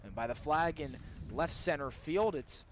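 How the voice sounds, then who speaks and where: High-pitched young man calling baseball. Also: a little slow, background noise. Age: young adult. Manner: crisp, descriptive calls that swell into excitement on big plays. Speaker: student baseball play-by-play broadcaster. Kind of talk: live radio sports commentary. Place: broadcast position at an outdoor ballpark.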